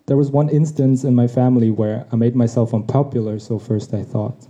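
A man whose mother tongue is Tagalog, reading a personal essay on his podcast in a reflective, measured way. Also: mid-range voice, fairly even intonation, dark tone. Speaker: podcast host. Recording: dull lo-fi recording.

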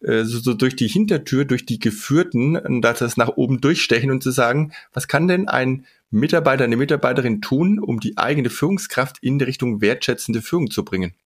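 A man's voice: medium pitch, animated, a little fast, hardly any pauses, husky. A man hosting a podcast and interviewing his guest in a conversational, somewhat hesitant way, with fillers. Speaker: podcast host, HR blogger.

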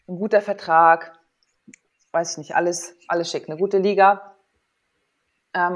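A woman's voice: lowish voice, muffled recording. A woman in her thirties talking informally and candidly as an interview guest, thinking aloud as she tells personal stories. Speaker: interview guest, former athlete and sports psychologist. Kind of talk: casual personal storytelling in an interview.

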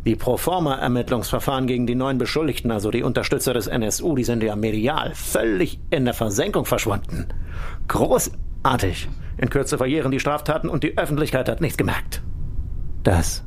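An actor playing a smug, middle-aged state secretary in a German political radio drama, officious and theatrically self-satisfied. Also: male voice, mid-range voice, lively intonation, normal speed, slight background noise, close to an everyday voice. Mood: smug, congratulatory.

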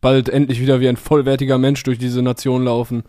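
A man's voice: mid-range voice, little pitch movement, brisk, warm tone. Male co-host, mid-range voice, wisecracking, loose delivery with fillers. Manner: joking, rambling, with many fillers. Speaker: podcast co-host. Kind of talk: casual music review chat.

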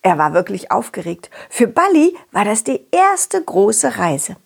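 Woman playing a talking dog, animated, husky edge, unhurried, confident, children's audio story. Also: mid-range voice, full character voice. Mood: curious, narrating. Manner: animated, expressive, addresses children directly. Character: confident, loyal, friendly talking dog telling his adventure. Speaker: puppeteer storyteller.

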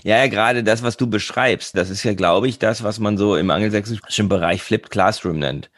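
A man's voice: on the low side, moderate pace, fairly bright tone, no room sound. A man hosting an interview, attentive and affirming, asking long thoughtful questions. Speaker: podcast host, interviewer. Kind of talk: casual conversational interview.

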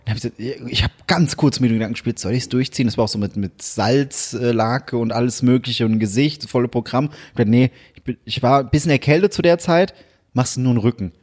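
A husky-voiced man spins a long anecdote in casual chat. His delivery is animated, and he slips into hushed whispers when he plays his own inner thoughts. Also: mid-range voice, fast.

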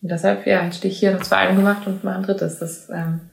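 Gravelly low-voiced woman, echoey room. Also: quick pace. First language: German. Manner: animated, many fillers and restarts, laughs easily. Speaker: singer-songwriter guest. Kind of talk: casual interview chat.

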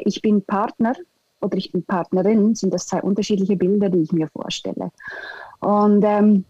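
Thoughtful young Swiss woman, mellow. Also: medium pitch, husky, dry recording, dull audio. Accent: Swiss German. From Switzerland. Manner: thoughtful, hesitant, many fillers. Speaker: interview guest, integration specialist. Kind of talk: reflective interview answers.

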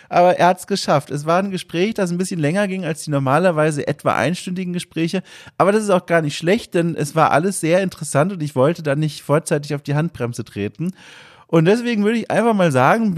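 A man in his thirties from West Germany, a podcast host introducing his guest in a chatty, rambling way with many asides. He has a cold and sounds congested. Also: a little high. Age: 30s. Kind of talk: casual podcast introduction and interview.

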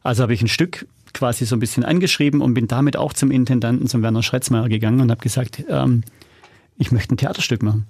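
A man's voice: mid-range voice, a little mellow, husky, no echo, clean studio sound. A man speaking informally as an interview guest, telling anecdotes about his own work in a conversational way. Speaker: interview guest, audio drama and documentary producer.

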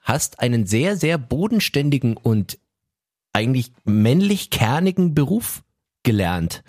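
A man hosting a casual interview, playful and halting with many fillers. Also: mid-range voice, big pitch swings, a little mellow, dry recording, clean studio sound. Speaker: podcast host.

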